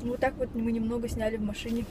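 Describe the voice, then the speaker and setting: Young female car vlogger, high register, chatty and slangy, very noisy in-car recording. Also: flat delivery, fast talker. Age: young adult. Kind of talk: casual chat while driving. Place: inside a moving car. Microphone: camera mounted on the dashboard. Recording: noisy car cabin with road noise.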